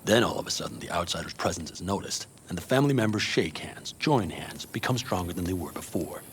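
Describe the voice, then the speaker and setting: A man playing a gruff, wry nomad clan leader in a video game, speaking in a blunt, dry, weighty way. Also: low-pitched, animated, a little fast, a little hiss or hum. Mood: warm, reflective.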